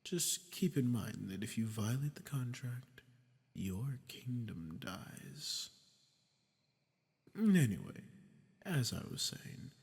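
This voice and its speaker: A man playing a sadistic, ancient archdemon in an audio drama, smug and mock-polite, with a gravelly voice that often drops to a breathy near-whisper. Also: mid-range voice, natural voice. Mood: amused, threatening. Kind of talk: scripted roleplay audio drama monologue. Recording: long cavernous reverb.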